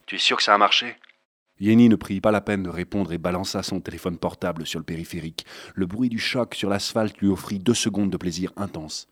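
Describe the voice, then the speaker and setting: Deep-voiced man narrating a thriller. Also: fairly quick, few pauses, darkish tone, dry recording, clean studio sound. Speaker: audiobook narrator. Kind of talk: scripted literary narration of a thriller.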